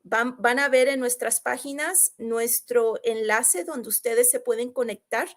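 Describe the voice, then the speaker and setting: A woman with a fairly high voice hosts an online video call. She talks in an upbeat, encouraging way, often restarting her phrases as she pitches a business opportunity. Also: a little slow. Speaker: host and business coach.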